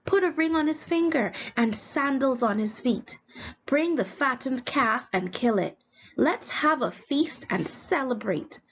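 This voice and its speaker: A woman's voice: sits high, lively intonation, a little slow, husky, pitched above the performer's own voice, full character voice. A woman voicing a loving, forgiving father in a storybook parable, tender and emphatic. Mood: excited, joyful. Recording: dull lo-fi recording, faint background noise.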